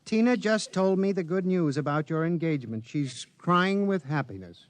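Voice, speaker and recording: An actor playing an anxious, lovelorn young lawyer in a 1950s radio drama, wry and fretful. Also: male voice, on the high side, expressive, normal speed, no room sound, lightly acted. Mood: hurt.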